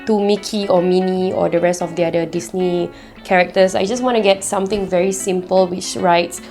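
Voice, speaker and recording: A young woman from Singapore talking through a casual haul vlog, her voice husky and a little nasal while she recovers from being ill. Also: a little fast, faint music behind. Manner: chatty, excitable, rises in pitch when gushing. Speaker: vlogger.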